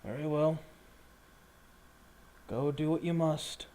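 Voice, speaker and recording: Guy doing a giant gruff-tempered pit fighter in a tabletop rpg, few words, blunt, grudging respect. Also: a little high, takes it slowly, dark tone. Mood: gruffly respectful. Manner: terse, blunt.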